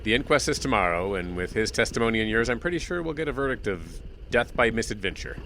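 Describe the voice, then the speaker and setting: A steady small-town sheriff in an audio drama. His low voice is bright and moves a lot, calm and easygoing. A faint hiss is behind him. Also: fast talker, rough recording.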